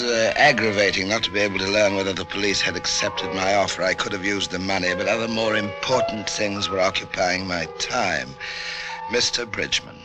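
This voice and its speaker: Deep-voiced sinister doctor, played by a man. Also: lightly acted, low-quality recording. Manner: measured, hesitant, insinuating. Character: sinister middle-aged male doctor, scheming murderer, smoothly polite. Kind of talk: old-time radio drama acting with confessional narration. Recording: old radio recording with music behind.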